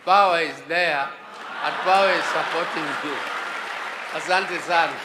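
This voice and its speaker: An older man with a Kenyan accent, speaking earnestly at a national forum with halting restarts. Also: a little high, over a noisy crowd, very noisy. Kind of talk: public address. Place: large conference hall. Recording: long hall reverb.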